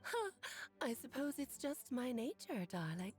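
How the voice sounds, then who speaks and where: A whimsical, gracious lady mage in the guise of a plush game creature. Her delivery is airy and affectionate, high and very lively, with faint music underneath. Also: a little slow, breathy edge, exaggerated voice. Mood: coy. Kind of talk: video game voice acting.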